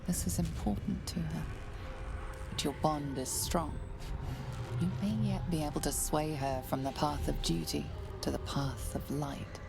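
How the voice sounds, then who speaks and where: A woman playing a grave, knowing narrator in a video game, measured and solemn. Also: low-pitched, fairly even intonation, gravelly, over music.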